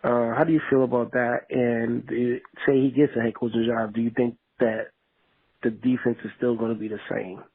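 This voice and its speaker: A man's voice: medium pitch, flat delivery, moderate pace, breathy edge. A man leaving a casual voicemail question as a caller to a show, heard over a narrow phone recording.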